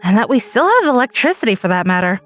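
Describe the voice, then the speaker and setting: A woman with a fairly high voice narrates a story in the first person. She tells it dramatically, as a storyteller, with music playing underneath. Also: very animated, fast, fairly bright tone.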